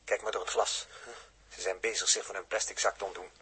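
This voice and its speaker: Sharp, raspy space crewman. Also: sits low, level tone, exaggerated voice. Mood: reassuring, brisk. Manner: brisk, matter-of-fact. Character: brisk, calming male space crewman. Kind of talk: 1960s Dutch radio drama acting.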